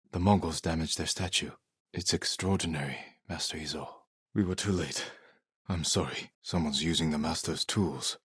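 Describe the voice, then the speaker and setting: Solemn samurai, deep husky voice, measured, clipped phrases, resolute, game dialogue. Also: male voice, no echo, studio quality. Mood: somber, gentle. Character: solemn, resolute male samurai warrior fighting invaders. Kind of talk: dramatic video game character dialogue.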